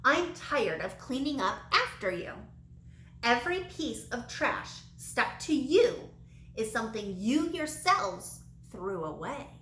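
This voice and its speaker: A stern old storybook wizard, as a woman reads him, weary and deliberate. He speaks slowly and steadily in a roomy, echoing space with noticeable noise. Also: fairly high pitch, sing-song, fairly bright tone, exaggerated voice. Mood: stern, fed up.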